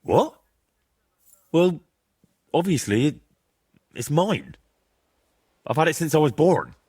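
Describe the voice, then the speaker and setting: A man playing a blunt, earnest young adventurer in a medieval video game, husky-voiced and direct in his questioning. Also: on the high side, big pitch swings, takes it slowly, dark tone, exaggerated voice. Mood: puzzled.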